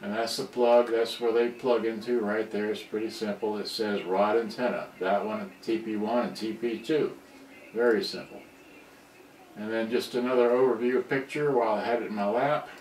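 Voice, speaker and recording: A man giving casual, off-the-cuff commentary as a repair hobbyist, talking in a rambling, halting way. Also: on the low side, fairly even intonation, a little mellow. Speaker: electronics repair hobbyist presenting. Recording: roomy, distant mic, faint noise.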